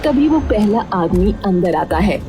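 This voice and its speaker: A woman's voice: medium pitch, sing-song, a little slow. Woman narrating a story over music. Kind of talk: scripted narration of a cartoon story.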